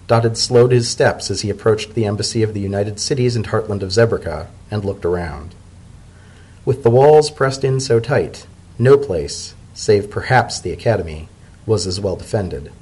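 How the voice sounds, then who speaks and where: A man's voice: fairly low pitch, a little mellow, slightly rough, poor audio. A man narrating a story as a dramatic reading.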